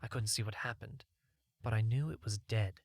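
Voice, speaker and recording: A man's voice: fairly low pitch, moderate pace, no room sound, studio quality. A man narrating a first-person fantasy audiobook in a scripted storytelling style.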